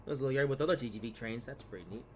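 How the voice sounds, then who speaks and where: A man's voice: mid-range voice, very fast, darkish tone, a little hiss or hum. Rambling young man narrating gameplay. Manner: rambling, many fillers and trailing asides. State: slightly stuffed up from a cold. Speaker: gaming video host. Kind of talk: casual gameplay commentary.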